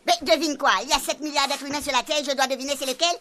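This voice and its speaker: A woman's voice: high-pitched, fairly even intonation, racing, a bit bright. A woman playing a long-suffering police station colleague who scolds in exasperation, in a comic radio serial. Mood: exasperated.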